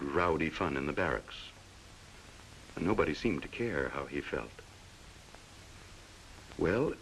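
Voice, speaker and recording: A man's voice: low voice, a little hiss or hum, exaggerated voice, poor audio. A man narrating a 1940s training film in a folksy, dramatic storytelling way on an old film soundtrack.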